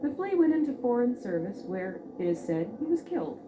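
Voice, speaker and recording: A woman's voice: a little high, big pitch swings, a little fast. A woman narrating a scripted fairy tale expressively as a storyteller. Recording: dull, noisy old record.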